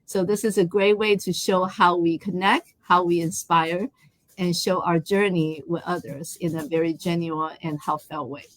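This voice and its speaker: A woman's voice: on the low side, steady tone, moderate pace, low-quality recording. A woman from Taiwan hosting a live online interview. She talks in a chatty way, with many fillers and restarts. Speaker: host.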